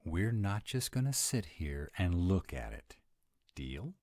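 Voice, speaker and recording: Man acting a very deep, grumpy were-dragon. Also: sing-song, takes it slowly, dry recording, clean studio sound, full character voice. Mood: reluctant, exasperated. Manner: grumbling, exasperated, softening into boyish eagerness. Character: grumpy tsundere male were-dragon, gruff but secretly soft. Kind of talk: audio roleplay acting, addressed to the listener.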